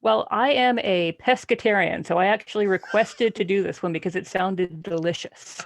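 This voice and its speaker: A woman's voice: mid-range voice, moderate pace, a little hiss or hum. A woman taking part in a casual book discussion over a video call, speaking in a wry, emphatic way with some chuckling. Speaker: podcast panelist.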